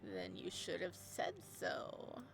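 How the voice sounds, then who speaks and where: A woman voicing a malicious male shadow creature from a game story, with a low, raspy voice and a slow, menacing drawl. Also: big pitch swings, soft background music, full character voice.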